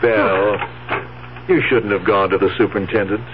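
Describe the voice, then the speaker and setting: An actor playing a scheming, placating, uneasy nephew in a 1970s radio drama. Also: male voice, fairly low pitch, fairly even intonation, normal speed, lightly acted. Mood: smooth, concerned. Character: scheming nephew humoring his confused old aunt. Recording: tinny narrow-band 1970s radio recording, hiss.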